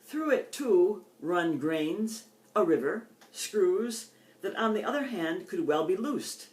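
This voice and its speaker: An older woman poet giving a reading with measured, deliberate phrasing and informal delivery between pieces. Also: lowish voice, animated, a little mellow. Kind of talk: poetry reading with informal introductions. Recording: echoey, roomy sound.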